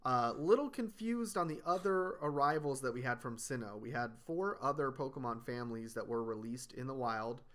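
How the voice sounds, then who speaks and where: Clear bright-voiced man explaining. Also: medium pitch, moderate pace, hardly any pauses. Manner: steady, explanatory, listing things off. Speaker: podcast host. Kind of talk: casual chat.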